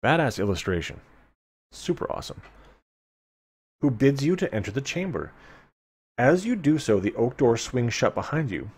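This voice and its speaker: A man's voice: medium pitch, big pitch swings, normal speed, long pauses, slightly rough. A man streaming a live read-aloud of a fantasy gamebook, dramatic in the narration and informal in his asides. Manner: playful, informal, dramatic when reading.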